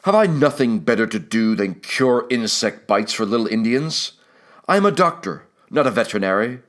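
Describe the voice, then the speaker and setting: A man's voice: medium pitch, big pitch swings, normal speed, a bit bright, pitched above the performer's own voice. A man voicing a stout, vain doctor who speaks with indignant, demanding contempt in an audiobook. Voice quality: hoarse, throaty. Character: stout, vain, discontented colonial doctor, quick to anger.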